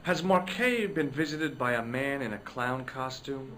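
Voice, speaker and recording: A persistent young investigator from a video game, dry and wry even when he pushes hard. His voice sits mid-range and matter-of-fact. Faint noise hums under it. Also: mid-range voice, unhurried.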